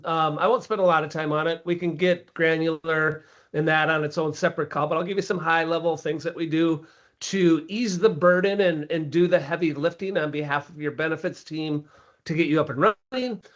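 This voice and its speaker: A man's voice: fairly high pitch, fairly even intonation, brisk, a bit bright, dull audio. A man with a scratchy voice from a cold, presenting casually on a business webinar with frequent ums. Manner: conversational, with frequent ums and restarts. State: hoarse from a cold. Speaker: sales presenter. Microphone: video call.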